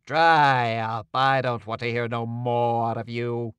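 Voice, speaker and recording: A man doing a gruff, scolding con-man duke. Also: mid-range voice, moderate pace, no room sound, lightly acted. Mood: curt. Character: angry bullying male swindler, the duke.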